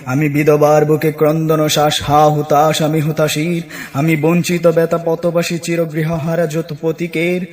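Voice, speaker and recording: A man reciting poetry in a dramatic, declamatory manner, in emphatic short phrases broken by pauses. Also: a little high, monotone, darkish tone. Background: music.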